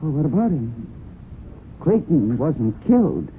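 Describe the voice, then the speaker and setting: A woman's voice: a bass voice, big pitch swings, a little slow, full character voice. An actress playing a loyal, defiant young woman with an earnest, defensive manner in an old-time radio drama. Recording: thin, phone-like vintage radio recording with hiss.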